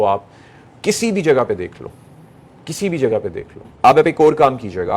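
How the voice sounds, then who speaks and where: A middle-aged man with a Pakistani accent lecturing young people, emphatic, repeating phrases, asking rhetorical questions and mixing in English phrases. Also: medium pitch. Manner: mixes English phrases into speech. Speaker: motivational speaker addressing young people. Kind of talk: motivational lecture to an audience.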